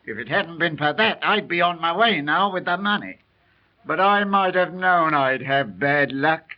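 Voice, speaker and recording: An actor playing a surly, unlucky old sailor turned burglar, grumbling in rough colloquial speech in a 1930s radio drama. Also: male voice, a little high, animated, normal speed, lightly acted. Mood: resigned grumbling. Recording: muffled, band-limited 1930s radio recording.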